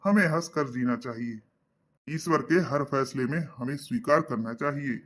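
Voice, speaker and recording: A man voicing an elderly, pious, accommodating father in a cartoon, soft-spoken and reasoning. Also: medium pitch, moderate pace, close to an everyday voice. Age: older. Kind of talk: cartoon voice acting.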